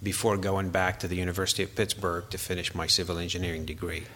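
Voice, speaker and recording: Deep-voiced Syrian-born engineer, halting. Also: male voice, little pitch movement, pushed and bright, long reverb tail, a little hiss or hum. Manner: hesitant, many fillers and restarts. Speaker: structural engineer guest. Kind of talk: interview answers.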